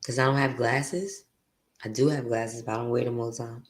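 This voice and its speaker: A woman with a deep voice hosting her podcast, venting bluntly and profanely in a candid, confessional monologue. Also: steady tone, brisk. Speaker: podcast host.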